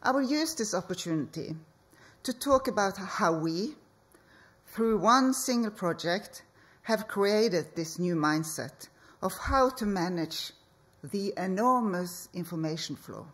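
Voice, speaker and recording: A woman speaks as an architect presenting at a conference, her pitch sitting in an ordinary middle range. She talks slowly and deliberately, leaving pauses between short phrases. She speaks into a podium microphone on a hall stage. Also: lively intonation. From Norway. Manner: slow, measured delivery with deliberate pauses. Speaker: architect and project leader.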